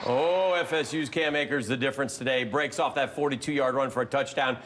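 A middle-aged man co-hosting a studio sports show, narrating highlights and agreeing often with his partner. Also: brisk, fairly bright tone. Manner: upbeat, agreeable, frequent "yeah". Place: TV news studio.

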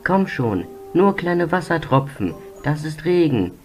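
A man's voice: a little high, big pitch swings, measured pace, faint music behind, voice pushed up. A man voicing an eager, earnest young male steam engine in a cartoon dub. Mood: urging. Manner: pleading, earnest.

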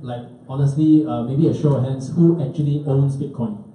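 A man's voice: medium pitch, fairly even intonation, moderate pace, dark tone. A man, informally moderating a panel and putting questions. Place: large event room with dark wall, indoors. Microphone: handheld mic into PA, picked up by far camera. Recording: very echoey room, distant mic, faint noise.

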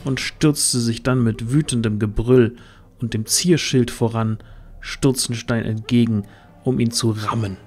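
A man's voice: medium pitch, fairly even intonation, a little slow, darkish tone, slight background noise. A man narrating a scripted fantasy audio drama.

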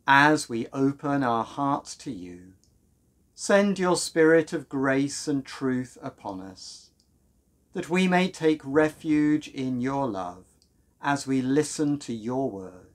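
A British man in his fifties leading a home church service as minister, with reverent, measured and deliberate phrasing. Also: mid-range voice, expressive. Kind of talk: sermon and prayer, reverent delivery. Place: small room at home, indoors.

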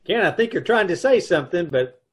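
A man playing a wry married narrator in an old-time radio comedy, telling of his wife's antics in a dry, deadpan way over a video call. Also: sits high, brisk, breathy edge. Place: home room, on a video call.

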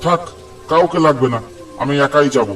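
A man's voice: on the high side, moderate pace, fairly bright tone, soft background music, close to an everyday voice. A man voicing a stubborn, argumentative young village fisherman in a cartoon, cocky yet jumpy. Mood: indignant. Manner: argumentative, grumbling. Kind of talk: Bengali cartoon horror-comedy dialogue.

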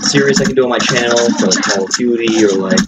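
A man's voice: mid-range voice, fast talker, heavy background noise. A man giving casual, unscripted gameplay commentary in a rambling, hesitant, informal way. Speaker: gaming video creator. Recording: loud game audio bleeding into mic. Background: loud video game sound from a TV.

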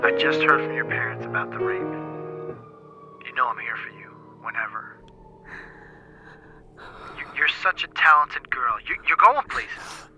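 A man's voice: a little high, pushed and bright, breathy edge, exaggerated voice. A man acting an earnest, sympathetic studio associate in a radio drama, speaking a little hesitantly on a voicemail. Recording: dull telephone voicemail with faint music.

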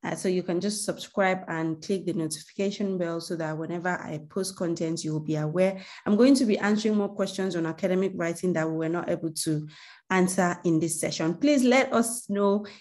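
A woman hosting an online webinar over a video call. Her voice is mellow and slightly low, and her manner is grateful, chatty and coaxing. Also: brisk. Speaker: webinar host. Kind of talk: closing remarks to a webinar.

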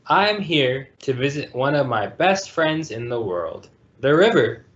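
A man's voice: mid-range voice, sing-song, a little slow. A man hosting a storytime, reading a children's picture book aloud over a video call. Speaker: storytime reader and host. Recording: dull lo-fi video call audio.

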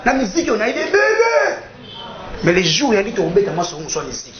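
Animated, high-pitched young Congolese man. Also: fast, crowd noise. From Democratic Republic of the Congo. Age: young adult. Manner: emphatic, repetitive, argumentative. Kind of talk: animated casual debate. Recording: dull, roomy sound, voices around.

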